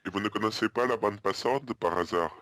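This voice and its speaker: A man playing an earnest, muddled hotel guest on a prank phone call, hesitant, repetitive and politely deadpan. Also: lowish voice, brisk, dry recording, clean studio sound, natural voice. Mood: deadpan, insistent. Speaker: prank caller.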